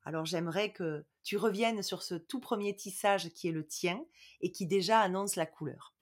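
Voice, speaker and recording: A woman's voice: on the low side, normal speed. A woman hosts an interview and introduces her guest. Her phrasing is lyrical and admiring, a little formal, with the odd small hesitation. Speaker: host. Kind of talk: interview host.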